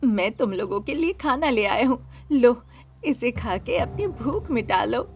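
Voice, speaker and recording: A woman voicing a humble, kindly beggar in a dramatised story, soft-spoken and imploring, with music behind. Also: fairly high pitch, expressive, unhurried. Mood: kindly, reassuring. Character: gentle, humble beggar, fatherly and devout. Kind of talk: dramatised Hindi audio story.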